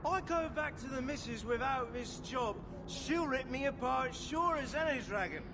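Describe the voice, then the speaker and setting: Drunken working miner, very high voice, slow delivery, coarse and joking, game dialogue, noisy recording. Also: male voice, lively intonation. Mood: boisterous. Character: rough, drunken, boisterous working-class male miner. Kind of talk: video game acting.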